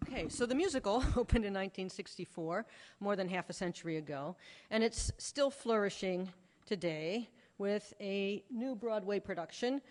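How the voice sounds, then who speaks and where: A woman's voice: medium pitch, animated, moderate pace, darkish tone. A woman professor delivering a scripted academic talk, articulate, with a wry touch. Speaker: professor giving a conference talk. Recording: reverberant lecture hall.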